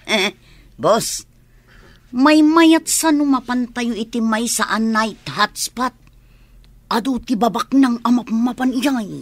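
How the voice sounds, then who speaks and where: A woman's voice: a little high, slow pace. A woman playing an eager, deferential gang sidekick in a radio drama.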